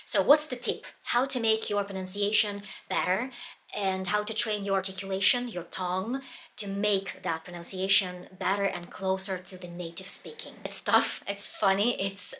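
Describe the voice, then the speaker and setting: A woman with a Russian accent, a native Russian speaker, talking casually about how she trains her pronunciation, pausing often to find her words. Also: mid-range voice, fairly even intonation, bright, forceful tone. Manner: earnest, pauses to search for words. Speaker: language learning vlogger.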